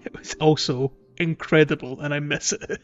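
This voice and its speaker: A man's voice: fairly high pitch, fairly even intonation, moderate pace, muffled recording, soft background music. A man co-hosting a gaming podcast in casual, rambling chat, thinking aloud as he goes. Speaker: podcast co-host.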